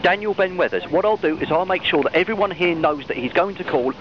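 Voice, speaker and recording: A male customer support agent talks on a helpline in a slightly high voice. He stammers, repeats himself and earnestly reassures. The phone recording is muffled, with clear noise over it. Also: lively intonation, fast talker. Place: open-plan office, on the phone. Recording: muffled, noisy low-quality phone recording.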